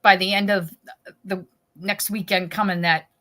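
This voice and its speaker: New england lady co-hosting a chat show, bright voice, laughs a lot, trails off. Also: medium pitch, level tone, moderate pace. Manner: chatty, laughs easily, trailing off mid-sentence. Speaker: co-host. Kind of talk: casual chat.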